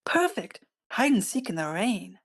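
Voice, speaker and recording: A woman's voice: mid-range voice, sing-song, measured pace, slightly rough, exaggerated voice. A woman playing a sweetly doting yet sinister otherworldly mother in a screenplay table read, coaxing and playful. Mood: sweetly eager. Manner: coaxing, playful, sing-song.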